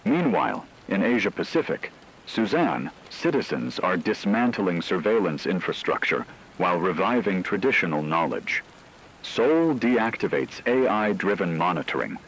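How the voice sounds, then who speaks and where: A man playing a formal, measured radio news anchor reading scripted reports in a solemn manner. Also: deep voice, a little hiss or hum, poor audio. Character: grave male radio news anchor in a dystopian broadcast.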